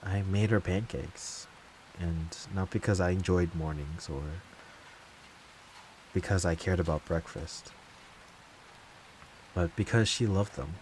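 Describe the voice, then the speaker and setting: A man playing a wounded, betrayed lover turned bitter and vengeful in a slow, deliberate dramatic audio monologue. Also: deep voice, a little mellow, a little hiss or hum, rough recording. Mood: tender, wistful. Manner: slow, deliberate, brooding, heavy pauses. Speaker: voice actor.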